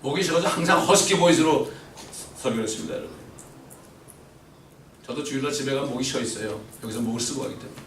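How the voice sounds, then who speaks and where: A middle-aged man preaching a sermon, swinging between loud emphatic peaks and quiet asides and asking the congregation questions. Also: mid-range voice, fast, pauses often, slight background noise. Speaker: pastor. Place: church sanctuary. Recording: roomy church echo from far off.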